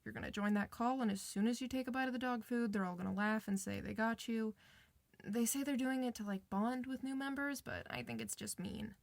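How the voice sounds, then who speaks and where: A woman playing a kind clinician in an ASMR medical roleplay, soft-spoken, reassuring and chatty with the patient. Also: on the high side, expressive, a little fast, gravelly. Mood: protective, casual.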